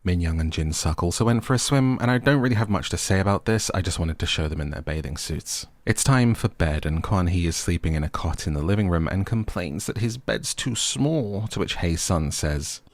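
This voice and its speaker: A man's voice: low voice, animated, fairly quick, hardly any pauses, no echo. A man hosting a scripted recap with playful, tongue-in-cheek asides. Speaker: host of a recap and review channel. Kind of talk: scripted recap narration with wry jokey asides.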